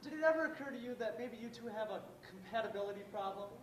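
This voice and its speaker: A man's voice: sits high, fast, a little hiss or hum, lightly acted. An easygoing, earnest teen boy acted by a young man, youthful in voice. He is heard from a distance in an echoey stage play recording. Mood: sardonic. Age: young adult. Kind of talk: amateur stage play acting.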